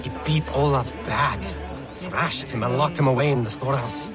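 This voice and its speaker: An actor playing a grumbling, uneasy Norse camp worker in a video game. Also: male voice, medium pitch, normal speed, over music, natural voice.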